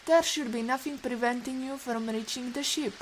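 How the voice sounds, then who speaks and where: A woman acting a brusque Russian-accented rescuer, high-voiced. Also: normal speed, natural voice. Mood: firm, impatient. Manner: formal, clipped commanding phrasing. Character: resolute, brusque woman rescuer, protective and self-reliant. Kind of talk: audio drama acting. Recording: faint hiss with rain behind. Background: rain.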